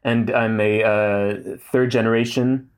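Guy in his 30s talking slowly and carefully, lots of um and you know. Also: lowish voice, steady tone, clean tone. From Saudi Arabia. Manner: thoughtful, hesitant, many fillers and restarts. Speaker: guest. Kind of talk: casual interview talk.

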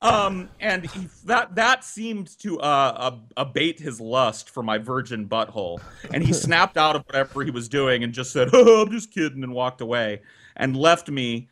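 Animated man telling a comic story. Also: medium pitch. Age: 30s. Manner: animated, dramatic, drawn-out telling with swearing. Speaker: podcast storyteller. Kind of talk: comedic personal storytelling.

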